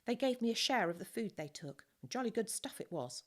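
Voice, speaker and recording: A woman's voice: fairly high pitch, very animated, fairly quick, voice pushed up. Woman reading as a defiant, sullen orphan boy. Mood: cheeky. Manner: blunt, cheeky. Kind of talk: audiobook character dialogue.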